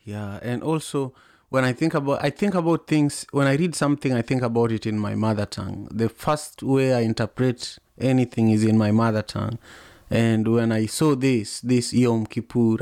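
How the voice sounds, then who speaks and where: A man's voice: medium pitch, normal speed, darkish tone, no echo. East African man, dry close sound. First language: Luo. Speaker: host of an online audio discussion. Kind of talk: conversational, reflective talk.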